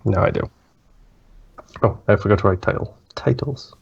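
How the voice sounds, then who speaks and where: A man's voice: lowish voice, normal speed, gravelly, highs cut off, slight background noise. A man co-hosting a podcast in casual chat, with a wry manner and the odd swear word. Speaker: podcast co-host.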